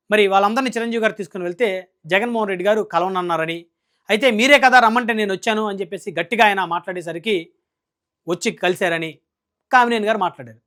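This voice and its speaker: A man delivers political news commentary at a rapid clip. He is emphatic and scolding. He often presses his point with rhetorical questions. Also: high-pitched, bright, forceful tone.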